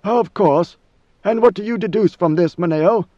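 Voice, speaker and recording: A man voicing a cold, commanding ancient ruler in a measured, lofty way. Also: high voice, mellow, no echo, highs cut off, close to an everyday voice. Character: ancient imperious male god-emperor, coldly commanding.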